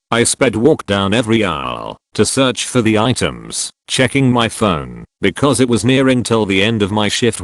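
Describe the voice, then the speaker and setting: A man's voice: on the low side, no echo. A man reading online stories aloud as narrator, in a wry, storytelling manner.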